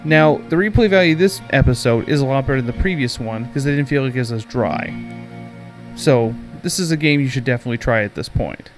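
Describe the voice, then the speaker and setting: A man presents a video game review as a podcast host, speaking at an average pitch. His delivery mixes prepared narration with off-the-cuff commentary. Music plays clearly behind his voice. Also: expressive, fast talker.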